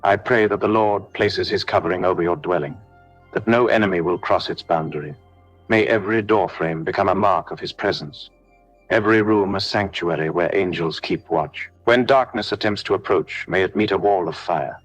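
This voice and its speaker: A man narrating a scripted devotional prayer, speaking solemnly in measured declarations straight to the listener. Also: sits low. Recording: background music under the voice. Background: music.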